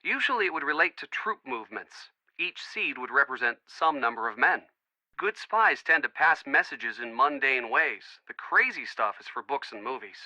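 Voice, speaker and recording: An actor playing an earnest, wisecracking young American boyfriend, chatty and eager, in an adventure game. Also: male voice, mid-range voice, lively intonation, normal speed, bright, forceful tone, no room sound, low-quality recording. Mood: informative, upbeat. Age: young adult. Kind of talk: scripted adventure game dialogue.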